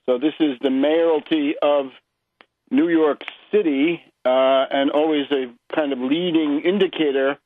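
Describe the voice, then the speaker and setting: An American man hosting a political radio show, speaking in a measured, somewhat halting way. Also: on the high side, fairly even intonation, phone-quality sound, slight background noise. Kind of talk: political radio interview and commentary.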